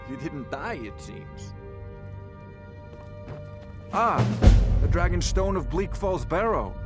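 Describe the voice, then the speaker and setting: Haughty fantasy game scholar-wizard, pompous, condescending, medium pitch over music. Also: male voice. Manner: pompous, pedantic. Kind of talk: video game voice acting.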